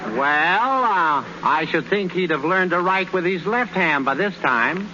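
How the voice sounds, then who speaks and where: A wisecracking teammate. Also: male voice, high voice, lively intonation, measured pace, pushed and bright, full character voice. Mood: teasing. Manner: needling, wisecracking questions. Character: teasing skeptical male ballplayer teammate. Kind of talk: 1930s radio drama acting. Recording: dull, hissy 1930s radio broadcast.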